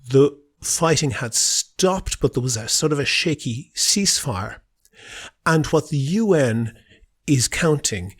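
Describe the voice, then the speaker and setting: A man explaining politics as a guest on an interview podcast, in an assertive, analytical way. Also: mid-range voice.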